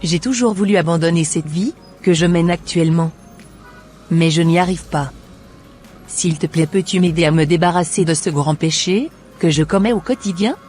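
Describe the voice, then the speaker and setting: A remorseful, unfaithful churchgoing woman in a cartoon, who pleads softly for help, as a voice actor plays her. Light music runs beneath her lines. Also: mid-range voice, moderate pace, natural voice. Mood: contrite. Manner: pleading, contrite.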